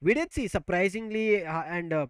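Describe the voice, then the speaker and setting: An excitable male esports caster pumps up the audience over a headset on a remote call. His voice sits a little high for a man. The sound is close and dry, with no room around it. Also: normal speed, clear, resonant tone, dry recording. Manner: excitable, loud, building hype. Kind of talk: live esports commentary.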